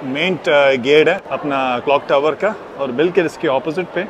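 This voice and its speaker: A man in his thirties talks through a food vlog in an upbeat, chatty way, sprinkling English phrases into his speech. His voice sits a little high for a man. Faint background noise hangs under the recording from the camera mic. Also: moderate pace. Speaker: food vlogger. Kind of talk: casual food vlog narration. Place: shopping mall food court, then hotel room.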